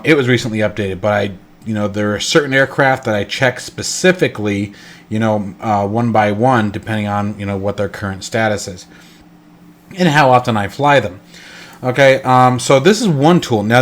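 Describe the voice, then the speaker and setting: Stuffy-nosed man rambling through a tutorial. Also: medium pitch. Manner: rambling, self-correcting, lots of fillers. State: congested, stuffed up. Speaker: flight sim channel host. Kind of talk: casual screen-recorded tutorial.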